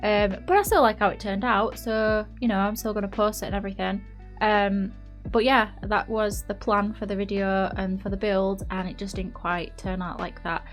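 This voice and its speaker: A woman's voice: mid-range voice, fairly even intonation, moderate pace. Chatty woman, a bit nasal. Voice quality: slightly blocked, nasal. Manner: rambling, self-doubting, lots of fillers. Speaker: YouTuber narrating a game build. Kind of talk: casual chatty voice-over. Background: faint background music.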